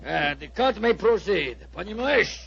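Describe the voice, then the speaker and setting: A pompous Russian envoy in an old radio play. The voice is high and gravelly, with a Russian accent and broken, imperious English. The sound is muffled and vintage. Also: male voice, sing-song, a little slow, fairly bright tone, slight background noise, full character voice. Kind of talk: 1940s radio acting. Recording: muffled 1940s radio recording.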